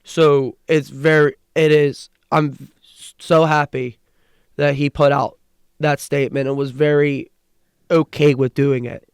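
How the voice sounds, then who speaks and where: A young man co-hosts a sports podcast in a casual, earnest way and stumbles through a stutter with restarts. His voice sits a little high and sounds smooth and resonant. It is recorded dry and close, with no room sound. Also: little pitch movement, unhurried, dry recording. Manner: earnest, with restarts and repeated words. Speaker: podcast co-host.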